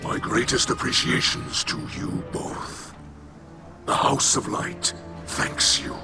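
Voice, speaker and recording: A man voicing a courteous alien refugee leader in a video game, formal and gravely earnest, with a breathy, hushed rasp. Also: slow pace, close to an everyday voice. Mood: solemn gratitude. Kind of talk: video game cutscene acting. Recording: voice under loud game music.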